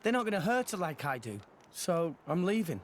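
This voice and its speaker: A man's voice: high-pitched, very animated, a little fast, very noisy. A man playing an earnest, dejected teenage boy hero in a game cutscene. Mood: bitter, defeated. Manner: earnest, self-blaming. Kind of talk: dramatic game cutscene acting.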